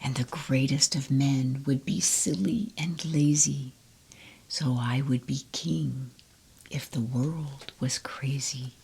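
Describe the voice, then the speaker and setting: A woman reading children's poems aloud in a soft, gentle whisper, close to the mic. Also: extremely low pitch, fairly even intonation, slow pace, gravelly, slightly rough, low-quality recording. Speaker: storybook reader. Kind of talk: whispered ASMR poetry reading.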